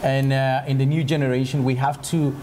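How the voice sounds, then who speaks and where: A man's voice: mid-range voice, little pitch movement, a little fast, dark tone, a little hiss or hum. A man, a lobbyist and Spanish speaker, who speaks hesitantly and searches for words. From Colombia.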